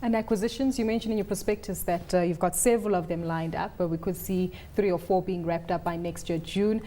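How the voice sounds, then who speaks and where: A woman anchoring a business news interview, asking brisk, probing questions. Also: lowish voice, steady tone, warm tone.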